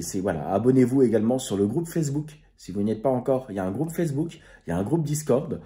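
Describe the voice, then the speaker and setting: Man, low pitch, warm tone, hesitant, many fillers, casual host. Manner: rambling, many fillers and restarts. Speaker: amateur radio channel host. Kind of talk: casual spoken vlog recap.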